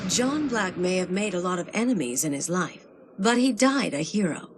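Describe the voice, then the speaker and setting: A woman's voice: lowish voice, expressive, normal speed, heavy background noise, natural voice. A woman playing a proud granddaughter who narrates her family's history in a reflective storytelling manner for a video game. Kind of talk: scripted dramatic game narration.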